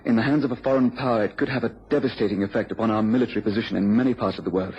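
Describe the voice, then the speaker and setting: An actor playing an anxious inventor's assistant in a radio drama, British, earnest and slightly stammering. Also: male voice, lowish voice, rapid-fire, husky. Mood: anxious, pleading. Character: anxious inventor's assistant pleading for a detective's help. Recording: dull, noisy radio recording.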